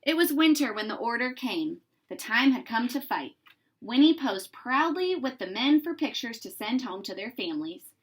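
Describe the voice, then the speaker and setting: A woman reading a children's story aloud in an expressive, deliberate narrating style. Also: on the high side, low-quality recording. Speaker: storybook reader.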